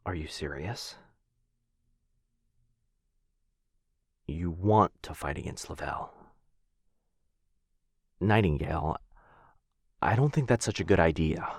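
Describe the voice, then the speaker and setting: Male actor as protective vampire lord, very low pitch, breathy half-whispers, tender, hesitant, earnest, ASMR roleplay, close dry sound. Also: no room sound, heavily acted. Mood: worried, cautioning. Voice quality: breathy, husky, often dropping to a whisper. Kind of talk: intimate boyfriend ASMR roleplay audio.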